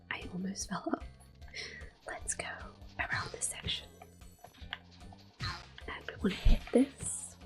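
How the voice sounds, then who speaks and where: A woman whispering soft, unhurried commentary as she plays through a video game for an ASMR channel. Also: a little high. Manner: soft, unhurried whisper with occasional soft-spoken words. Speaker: ASMR gameplay host.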